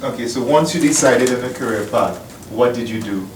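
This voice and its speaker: A male interviewer puts plain, measured questions to his guest at a middle pitch. He sounds far from the microphone. The room is echoey and a steady background noise runs underneath. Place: newspaper office. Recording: echoey, distant mic, clear noise.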